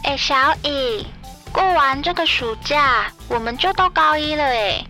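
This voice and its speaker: A high, bright voice at an unhurried pace, a woman playing a teenage schoolgirl in an audio drama. She sounds confiding and a little whiny, the obedient sort, with light music behind her. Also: lively intonation, full character voice. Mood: hesitant. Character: obedient teenage schoolgirl under a strict father. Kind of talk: Chinese audio drama acting.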